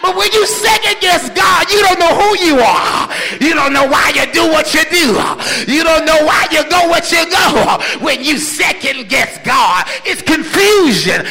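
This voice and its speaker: A man preaching a fiery sermon with emphatic, rhythmic repetition and colloquial phrasing, often rising into shouts and sing-song. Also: extremely high pitch, big pitch swings, unhurried, faint music behind. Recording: echoey hall with long reverb.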